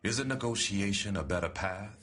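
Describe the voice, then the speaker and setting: Deep voiced guy reading a letter like a speech, serious, deliberate, stresses the big words. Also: fairly bright tone. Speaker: reader of a letter. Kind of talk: solemn oratorical reading.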